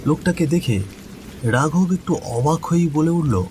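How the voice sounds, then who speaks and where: Slow-paced male horror storyteller. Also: a little high, animated, darkish tone. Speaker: narrator. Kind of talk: scripted suspenseful story narration. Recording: faint rain noise behind voice. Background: rain ambience.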